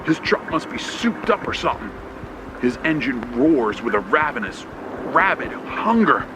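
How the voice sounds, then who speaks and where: Male horror narrator, measured and suspenseful, widely swinging intonation, radio-play drama, loud rain and engine effects behind. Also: fairly high pitch, noisy background. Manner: tense, suspenseful, short clipped phrases. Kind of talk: dramatic first-person scripted narration, old radio play style. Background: rain, thunder and vehicle sound effects.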